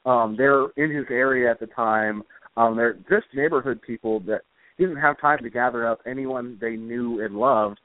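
A man giving casual, rambling interview answers as a guest author, speaking in a hesitant, halting way. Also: medium pitch. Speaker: guest, comic book author. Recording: roomy, phone-like internet radio call.

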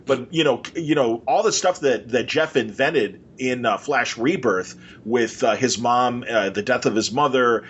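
A man's voice: medium pitch, unhurried, hardly any pauses, a bit bright, lo-fi sound, a little hiss or hum. A man hosting a podcast, chatting casually and enthusiastically in an informal, rambling way. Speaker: podcast host.

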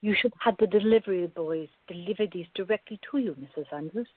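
A woman playing a sensible young working woman in a radio drama, measured, polite and dryly teasing. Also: medium pitch, natural voice. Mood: polite, guarded. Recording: dull lo-fi recording.

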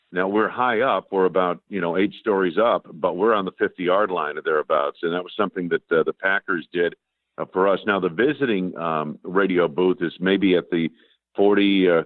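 Deep-voiced man on a tinny phone line. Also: dry recording. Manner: conversational, anecdotal, many fillers. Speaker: radio play-by-play broadcaster, guest. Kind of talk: casual phone interview. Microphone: telephone.

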